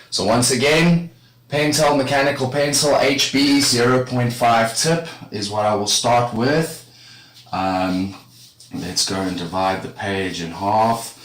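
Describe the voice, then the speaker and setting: A man casually talking to his live-stream viewers while he draws. He rambles in a relaxed way and sounds a little congested from a cold. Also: fairly low pitch. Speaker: art streamer. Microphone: streaming setup with a camera facing him. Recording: echoey room with faint background noise.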